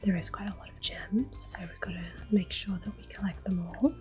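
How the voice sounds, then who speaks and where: A woman giving soft-spoken ASMR game commentary in a gentle, breathy, often whispered murmur. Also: medium pitch, steady tone, brisk, soft background music. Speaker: gameplay host.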